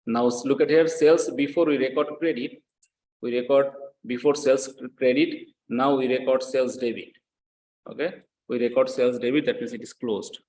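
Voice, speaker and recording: A man's voice: medium pitch, steady tone, brisk, a little mellow. Echoey male lecturer, non-native English speaker. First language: not English. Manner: repeats phrases, frequent check questions to students. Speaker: accounting lecturer. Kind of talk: classroom lecture, explaining step by step. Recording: echoey room sound.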